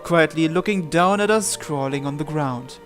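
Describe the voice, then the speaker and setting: A man's voice: on the high side, moderate pace, music in the background. A man hosting a game playthrough, reading the story aloud expressively and adding casual commentary. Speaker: gamer hosting a visual novel playthrough.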